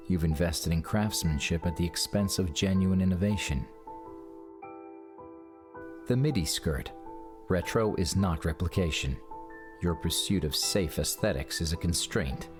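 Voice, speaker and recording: Icy strict judge in a game, really low voice, formal and clipped, bit of music behind. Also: male voice, unhurried, pauses often. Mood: cold, critical. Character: cold, serious, exacting male fashion judge. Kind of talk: game voice acting.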